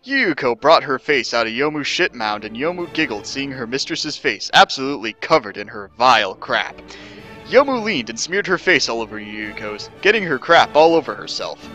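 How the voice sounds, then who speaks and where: A man's voice: mid-range voice, big pitch swings, normal speed, bright, forceful tone, soft background music. A man giving a mock-dramatic scripted story reading as narrator, theatrical and emphatic.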